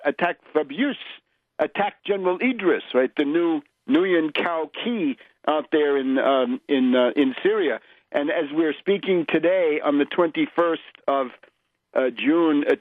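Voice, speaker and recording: A man hosting a political radio show, delivering an emphatic, sardonic monologue and often checking his points with a clipped "right?". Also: a little high. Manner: sardonic, scornful. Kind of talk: political commentary monologue, polemic. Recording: muffled lo-fi radio audio.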